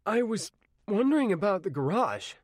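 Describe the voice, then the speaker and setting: A man's voice: high voice, sing-song, moderate pace, no room sound, studio quality, voice pushed up. A man voicing a polite, stubborn teenage boy who pleads insistently. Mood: pleading.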